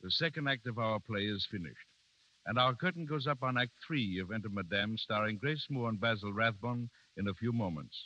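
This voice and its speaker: A man's voice: lowish voice, a little fast, sharp tone. A man announcing in the formal, scripted manner of 1930s network radio. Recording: muffled 1930s radio recording.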